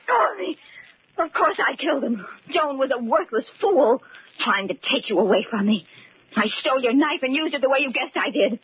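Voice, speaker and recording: A woman's voice: high-pitched, animated, normal speed, breathy edge, full character voice. An actress playing a young woman who is a cornered murderess, hysterical and breathless, in 1940s radio acting on a muffled old radio recording. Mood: defiant.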